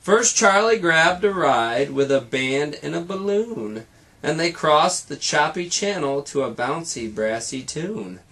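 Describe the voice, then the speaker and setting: A man's voice: fairly high pitch, lively intonation, measured pace, fairly bright tone. A man reading a children's picture book aloud like a teacher, with rhythmic, dramatic delivery and playful asides.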